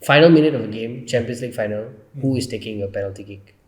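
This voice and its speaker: A man's voice: mid-range voice, quick pace. Man debating football in an echoey room. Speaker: podcast co-host.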